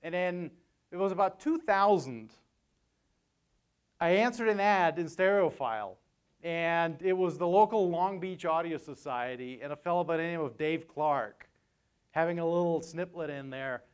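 Male seminar speaker, 40s, clear resonant voice on the higher side, conversational and joking. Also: moderate pace. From Vienna, Austria. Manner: conversational, joking, often tags sentences with "right?". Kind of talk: informal conference talk. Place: hotel meeting room, on stage at a lectern. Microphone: lapel mic.

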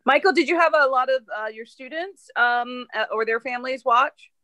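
A woman's voice: sits high, moderate pace, bright, forceful tone, no room sound. A woman chatting casually in a group video call. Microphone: computer on a video call.

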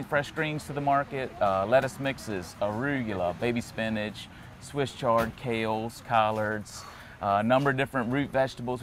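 Male farmer, 30s, lower voice, hesitant lister, faint outdoor noise. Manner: listing produce with frequent "uh". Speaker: vegetable farmer.

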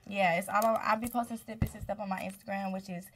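A woman's voice: medium pitch, fairly even intonation, fast, a bit bright. Laid-back young woman guest, faint hiss. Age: 20s. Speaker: guest rapper. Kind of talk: casual chat. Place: small podcast studio.

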